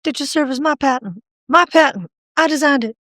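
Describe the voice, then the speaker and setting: An actress playing a scheming, assertive, unfaithful wife in a radio drama, speaking insistently. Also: female voice, sits high, animated, a little fast, fairly bright tone, no echo, studio quality.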